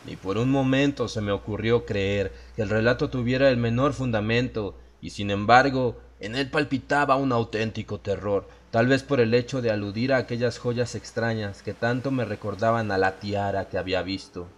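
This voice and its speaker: A man's voice: lowish voice, normal speed, hardly any pauses. A man reading scripted horror fiction as an audiobook narrator.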